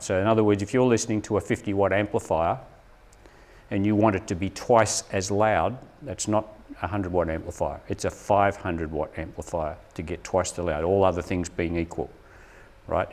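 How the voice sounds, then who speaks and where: A man's voice: low voice, darkish tone. A man presenting a live workshop to teachers, explaining things and often checking in with "right?".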